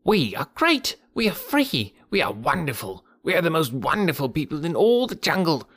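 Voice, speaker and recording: A man's voice: sing-song, normal speed, no echo, clean studio sound. A man voicing a chattering, boastful mob of jungle monkeys in a storybook reading. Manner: shrill boastful shouting.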